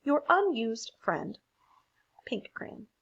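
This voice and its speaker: Sassy, put-out storybook crayon, played by a woman, pointed delivery, higher voice, unrushed. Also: very animated, takes it slowly, creaky, a bit bright, breathy edge, muffled recording, exaggerated voice. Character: sassy, miffed pink crayon, feeling overlooked. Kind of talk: picture book read aloud.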